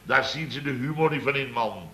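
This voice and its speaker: Sharp, blustering boss. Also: male voice, medium pitch, moderate pace, reverberant. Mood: indignant. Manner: emphatic storyteller, repeats himself, sardonic. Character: blustering, exasperated male boss of a small building firm. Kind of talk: 1970s radio comedy acting. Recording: noisy vintage radio recording.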